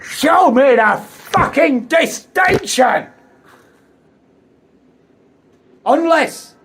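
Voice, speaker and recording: A woman voicing a bearded Victorian male author who rants in furious, booming oratory from a podium.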